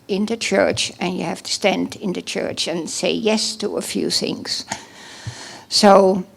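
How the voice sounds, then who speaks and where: A middle-aged woman from the Netherlands with a Dutch accent, giving a personal testimony at a church service in a halting way, with many pauses and restarts. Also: medium pitch, gravelly.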